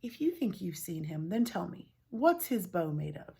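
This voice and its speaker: A woman's voice: fairly low pitch, very animated, moderate pace, gravelly, dark tone, breathy edge, heavily acted. A woman voicing the stern sister of an invisible being in a folktale, commanding and challenging.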